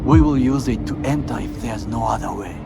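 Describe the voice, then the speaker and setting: An actor playing a stern, middle-aged Japanese former bodyguard in a video game, with a Japanese accent and formal, measured phrasing. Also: male voice, medium pitch, creaky, very noisy, natural voice. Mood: grave, cautious.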